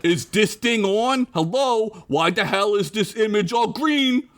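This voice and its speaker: A man puts on a bossy Italian-American wiseguy boss for a comic fantasy tale. The voice is pitched fairly high and swings around freely, with brash, slangy delivery. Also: lively intonation, unhurried, fairly bright tone. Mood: impatient. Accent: put-on Italian-American. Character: gruff wisecracking Italian-American mobster boss, as a hologram.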